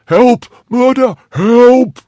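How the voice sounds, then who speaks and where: Shrill, panicky young manservant. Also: male voice, very slow, dark tone, no room sound, lo-fi sound, full character voice. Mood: terrified. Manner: shrill, exclamatory, blustering. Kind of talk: audiobook character acting.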